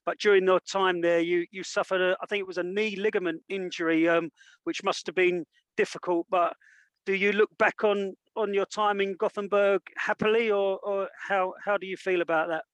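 A man co-hosting a football podcast puts questions to his guest in a high voice. He speaks in a measured way, with some fillers and restarts. The sound is close and dry. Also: steady tone, a bit bright, no echo, low-quality recording. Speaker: podcast co-host. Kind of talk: interview questions.

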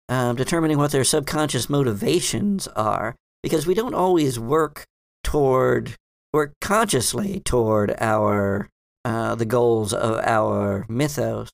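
A man voicing a scholarly, hesitant female librarian in a tabletop game, speaking in halting, formal, professorial phrases. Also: medium pitch, vocal fry, no room sound. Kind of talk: tabletop roleplay in character.

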